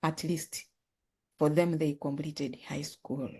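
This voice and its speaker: Woman guest, low-ish warm tone, unhurried, earnest with frequent restarts. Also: steady tone, breathy edge. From Uganda. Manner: earnest, halting, repeats words and restarts often. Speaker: guest, author and nonprofit founder. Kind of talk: telling her life story in an interview.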